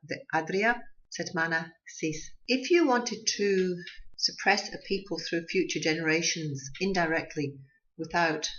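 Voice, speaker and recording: A radio host with a mixed Scottish and Durham English accent gives a measured, reflective commentary monologue, often restarting phrases. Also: mid-range voice, a bit bright. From Scotland, raised partly in Durham, England. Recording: dull lo-fi recording.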